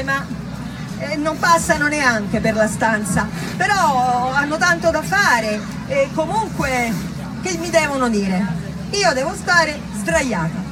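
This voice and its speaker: Woman as trusting pregnant woman, high and slow. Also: distant mic, low-quality recording. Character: hopeful young pregnant woman, trusting, patient. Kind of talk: theatrical monologue.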